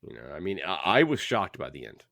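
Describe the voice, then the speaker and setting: A man's voice: sits low, big pitch swings, fairly quick, creaky, fairly bright tone, no room sound, studio quality. A man co-hosting a book podcast in casual discussion, opinionated and circling back over his phrases as he talks. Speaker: podcast co-host.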